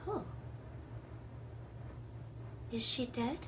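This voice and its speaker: Actress as a shy, high, slow-spoken young woman, hissy take. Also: lively intonation, heavily acted, poor audio. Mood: timid. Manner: timid, submissive, hushed replies. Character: meek, nervous young woman in training.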